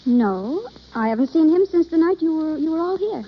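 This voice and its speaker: Shy young woman in love, old-time radio drama, high slightly husky voice, very animated. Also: moderate pace. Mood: bright, evasive. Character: transformed timid young woman, breathless and shyly lovestruck. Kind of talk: 1930s-40s radio acting. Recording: muffled vintage radio broadcast.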